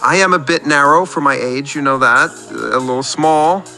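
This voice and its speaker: A man's voice: a little high, normal speed, creaky, bright, forceful tone, soft background music. A man playing a nervous, fragile radio caller in a comedy show, rambling and self-deprecating as he overshares. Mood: sheepish, confessional. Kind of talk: scripted comedy radio monologue.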